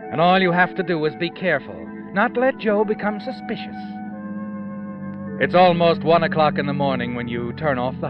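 Ominous, animated radio suspense narrator. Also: male voice, a little high. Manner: insinuating, rhetorical, measured. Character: ominous, knowing male narrator addressing the villain. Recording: muffled old radio recording with music.

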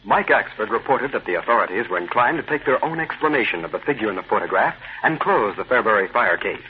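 A man's voice: lowish voice, lively intonation, quick pace. A man narrating an old radio adventure serial as its announcer, in a scripted period style. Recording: tinny, hissy vintage radio recording.

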